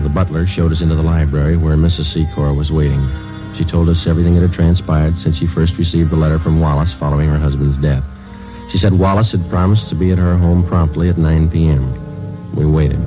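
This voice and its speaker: An actor in a 1950s radio police drama playing a terse, stoic sergeant who narrates cases in a clipped, matter-of-fact way. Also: male voice, very deep voice. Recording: muffled vintage radio recording with music.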